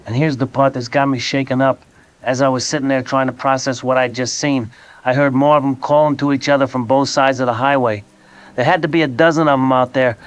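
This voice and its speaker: A man's voice: medium pitch, natural voice. A man playing a rattled veteran truck driver calling into a radio show in an audio drama. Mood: shaken. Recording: dull lo-fi call-in sound.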